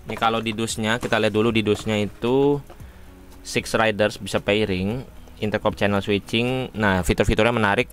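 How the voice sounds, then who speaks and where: Low-voiced man, casual reviewer. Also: steady tone, normal speed, a bit bright, soft background music. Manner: informal, addresses viewers as friends. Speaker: motovlogger reviewing gear. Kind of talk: casual unboxing and review chat.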